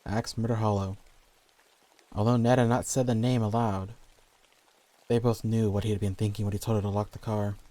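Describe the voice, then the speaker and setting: Dark, low-voiced man narrating horror. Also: low-quality recording. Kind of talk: scripted horror story narration.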